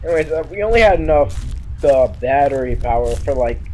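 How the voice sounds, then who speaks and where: A man's voice: a little high, animated, brisk, darkish tone. A young man casually improvising a crude, jokey story. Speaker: storyteller in a comedy skit. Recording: clearly noisy amateur recording.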